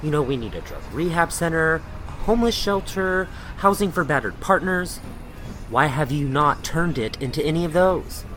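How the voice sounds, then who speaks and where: A snide, confrontational muckraking blogger played by a man in an audio drama, with a highish, very lively voice. Each line is pointed and mocking, pressed like an interrogation. Street noise is clearly audible behind. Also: moderate pace. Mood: accusing.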